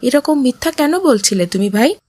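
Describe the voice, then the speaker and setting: A kind and pious young cartoon boy, voiced by a woman, high-pitched and recorded dry and close. He speaks earnestly, like a little moral guide in a children's religious story. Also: animated, normal speed, dark tone, no echo. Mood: earnest, reproving. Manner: earnest, admonishing. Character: kind, pious boy who gently scolds and preaches. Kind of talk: Islamic moral cartoon voice acting.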